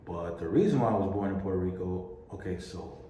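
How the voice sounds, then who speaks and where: A man from the Dominican Republic chatting casually on a podcast, quick and curious. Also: sits low, mellow. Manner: quick, curious, asks questions. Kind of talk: casual chat. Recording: echoey room, faint noise.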